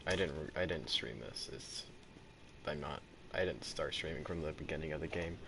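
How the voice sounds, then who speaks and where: A man's voice: a bass voice, normal speed, vocal fry, background noise. A man giving casual, rambling, offhand commentary as he streams a video game. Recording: loud game music behind.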